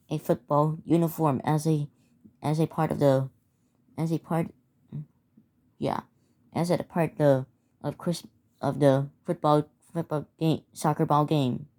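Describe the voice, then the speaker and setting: A woman reading a scripted emergency announcement in a halting way, stumbling and repeating phrases. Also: deep voice, mellow. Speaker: narrator of a hobby emergency alert scenario.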